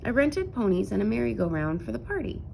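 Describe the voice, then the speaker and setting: A woman's voice: mid-range voice, very animated, fast talker, vocal fry, mellow, a little hiss or hum. Woman playing a breezy, jovial papa bear. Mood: breezy, scoffing. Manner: breezy, carefree, indulgent. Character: jovial, enthusiastic father bear in a storybook.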